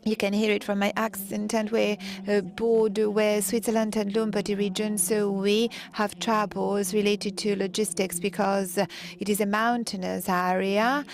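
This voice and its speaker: A woman interpreting a conference talk live, in a halting way with frequent pauses mid-sentence. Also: mid-range voice, flat delivery, crowd noise.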